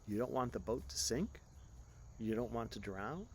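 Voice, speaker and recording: A man's voice: medium pitch, lively intonation, moderate pace, a little hiss or hum, close to an everyday voice. A man voicing a stubborn, nonchalant fisherman in a storybook reading. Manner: nonchalant, obstinate. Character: stubborn, unbothered fisherman with a hand drill.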